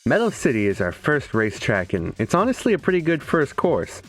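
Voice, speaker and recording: A man's voice: mid-range voice, huge pitch swings, fairly quick, fairly bright tone, poor audio. A man doing casual, comedic video game commentary with a sarcastic, profane edge. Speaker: gaming video creator. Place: indoors, streaming setup.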